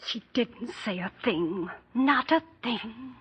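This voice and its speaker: An actress playing a devoted, inquisitive housemaid in old-time radio drama, chatty and deferential, on a muffled recording. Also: female voice, on the high side, expressive, slow, husky, full character voice. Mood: hesitant.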